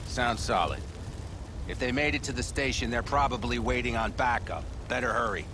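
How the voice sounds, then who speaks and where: A man's voice: mid-range voice, moderate pace, background noise, lightly acted. An actor playing a practical, level-headed male nomad clansman in a video game, speaking in a terse, matter-of-fact way. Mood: practical, wary. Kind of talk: cinematic video game acting.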